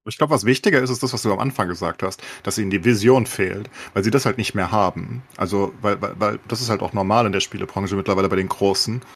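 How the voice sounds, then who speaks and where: Male podcast co-host, lowish husky voice, casual and opinionated, peppers with English gamer terms. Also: fast, hardly any pauses. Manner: mixes English gaming slang into German. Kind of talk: casual opinionated gaming chat.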